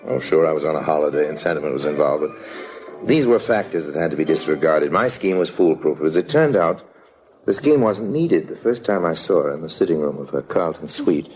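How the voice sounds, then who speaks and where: Smooth radio con man, low voice, wry and confiding, old drama with music under it. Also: animated, brisk, a little mellow. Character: suave, roguish con man narrating his own scheme. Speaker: narrator and lead actor. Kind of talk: old-time radio drama acting, first-person narration.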